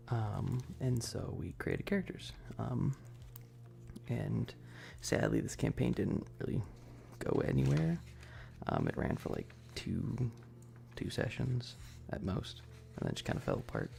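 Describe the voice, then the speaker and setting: A man chatting casually and softly on an ASMR livestream, rambling with hesitant pauses and often slipping into breathy, whispery speech. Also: fairly low pitch. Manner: self-deprecating, nerdy. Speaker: ASMR streamer.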